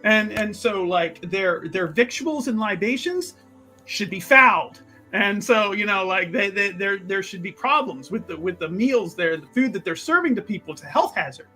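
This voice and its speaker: A man playing an ambitious, vindictive underground boss in a tabletop game, speaking emphatically and haltingly with many repeated phrases. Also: sits high, lively intonation, sharp tone, soft background music. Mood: indignant, scheming. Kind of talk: improvised tabletop roleplay.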